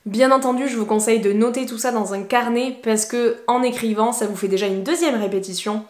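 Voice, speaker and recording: A woman's voice: a little high, steady tone, brisk, darkish tone, echoey room. A woman English teacher, giving casual, encouraging tips to camera with plenty of fillers. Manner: chatty, encouraging, many fillers.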